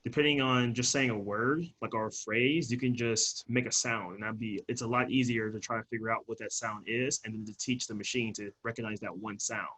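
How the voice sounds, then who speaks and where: A man on a webinar panel who builds robots, thinking out loud in a relaxed, rambling way. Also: mid-range voice, fairly quick, hardly any pauses. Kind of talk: casual panel discussion on a video call.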